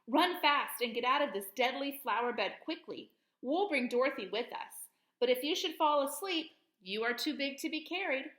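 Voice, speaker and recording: A kindly, practical scarecrow from a storybook, played by a woman reader. He speaks earnestly and decisively, in a bright voice with lively pitch and some room echo. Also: normal speed. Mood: urgent, practical.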